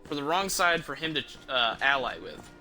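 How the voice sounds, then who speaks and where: Male game streamer, sharp bright tone, medium pitch, casual, halting, thinking aloud. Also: animated, faint music behind. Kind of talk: casual role-play game commentary.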